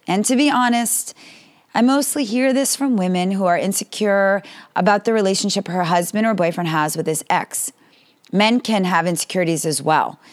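A woman with a slightly raspy voice hosting a solo family-advice podcast, talking earnestly and directly to her listeners. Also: medium pitch, normal speed. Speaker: podcast host.

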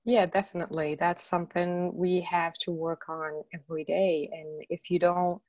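Casting: earnest middle-aged woman from Morocco, low voice, dry close sound, talks through her story with lots of fillers. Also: little pitch movement, moderate pace, darkish tone, no room sound, highs cut off. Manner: earnest, frequent "you know" fillers. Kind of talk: interview guest telling her story.

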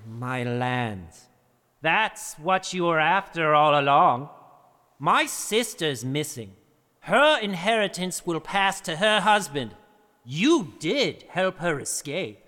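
A man performs a panicky, craven lord in a fantasy audio drama, high and sharp in voice. He whines and sputters fussily, his pitch leaping about, in a long reverberant hall. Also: sing-song, slow, exaggerated voice. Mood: bitter. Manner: fussy, sputtering, pleading. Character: cowardly, whining, panicked deposed nobleman.

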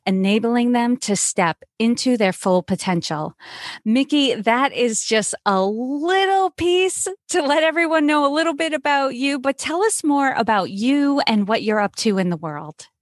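A woman's voice: mid-range voice, dry recording, studio quality. A woman hosting a podcast, giving upbeat, scripted introductions and then asking questions. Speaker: podcast host.

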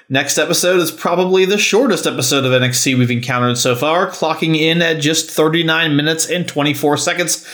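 Higher-voiced man hosting a wrestling podcast. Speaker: podcast host. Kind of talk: quiz hosting and casual wrestling chat.

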